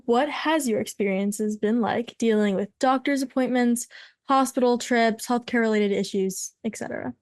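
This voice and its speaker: A young woman hosting a podcast, asking prepared interview questions in a clear manner. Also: on the high side, moderate pace, a little mellow. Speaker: podcast host.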